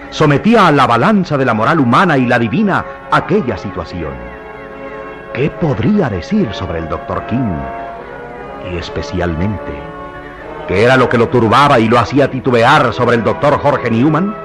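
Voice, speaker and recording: An actor as the grave narrator of a radio mystery, telling it in a dramatic, deliberate and suspenseful way. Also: male voice, mid-range voice, big pitch swings, fairly bright tone. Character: grave omniscient storyteller of mystery tale. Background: music.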